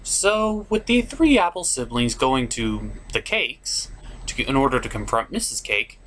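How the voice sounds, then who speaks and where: Animated man hosting a comedic review. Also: mid-range voice, a little slow, a little hiss or hum. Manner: theatrical, swings from dry recap to gushing. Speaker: reviewer, show host. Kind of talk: scripted comedic cartoon episode review, puppet show.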